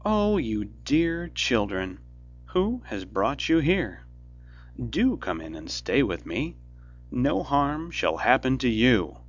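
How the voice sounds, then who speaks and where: A man voicing a cruel, scheming old female witch in a storybook reading, with a shrill put-on voice over faint music. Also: very animated, slow. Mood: falsely sweet. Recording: dull lo-fi recording with faint music.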